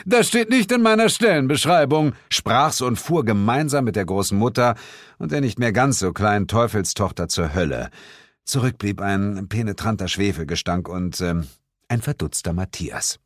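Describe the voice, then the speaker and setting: A man narrating an audiobook in the first person with a dramatic, expressive reading. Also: lowish voice, moderate pace, no room sound, studio quality. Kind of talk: scripted narration.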